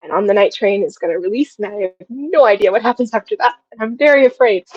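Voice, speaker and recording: A woman in her twenties speaks as a guest in a casual interview, with a halting, reflective delivery. The sound is dry and close, a little dull in the top end. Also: mid-range voice, animated, husky, no room sound. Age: 20s. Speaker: guest, audio drama writer.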